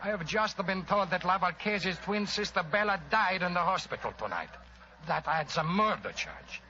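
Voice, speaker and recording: An actor playing a dramatic, effusive Italian police inspector with florid broken English in an old radio drama. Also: male voice, high voice, expressive, normal speed, bright, forceful tone. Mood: grave. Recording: dull, hissy old radio recording.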